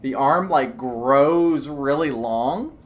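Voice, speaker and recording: A woman's voice: very deep voice, slow pace. A woman narrating as game master of a tabletop game, describing scenes in a rambling way full of restarts and fillers.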